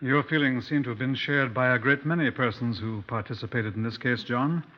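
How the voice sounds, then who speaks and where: A British man playing a composed, probing police inspector in a 1950s radio drama, speaking formally and with measured care. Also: medium pitch, close to an everyday voice. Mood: grave, reflective. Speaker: actor in a radio crime drama. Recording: dull, muffled 1950s radio recording.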